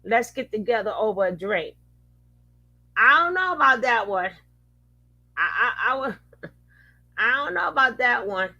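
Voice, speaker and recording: A woman's voice: fairly high pitch, animated, normal speed, long pauses, sharp tone, muffled recording. A woman commenting casually on a live stream, skeptical and wry, with laughing asides.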